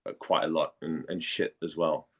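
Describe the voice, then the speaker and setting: A man in a casual interview, telling stories in a chatty, halting way and often rephrasing as he goes. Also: very deep voice, fairly even intonation. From Denmark. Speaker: voice actor and model, interview guest.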